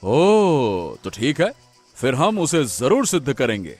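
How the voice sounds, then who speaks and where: A man's voice: medium pitch, wildly swooping pitch, slow, darkish tone, soft background music, exaggerated voice. A man voicing an arrogant, power-hungry cartoon king with an imperious, theatrical delivery. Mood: imperious, greedy. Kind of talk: cartoon story voice acting.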